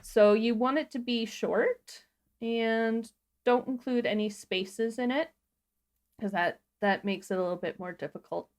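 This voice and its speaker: A woman co-hosting a livestream, explaining software step by step in an informal, casual tutorial style. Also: on the high side, a little slow. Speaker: livestream co-host demonstrating a tutorial.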